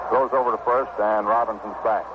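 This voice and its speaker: Male play-by-play baseball commentator, vintage radio style, clipped and measured delivery, thin band-limited sound buried in loud noise. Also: fairly low pitch, steady tone, narrow, tinny audio. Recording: noisy vintage 1960 AM radio broadcast.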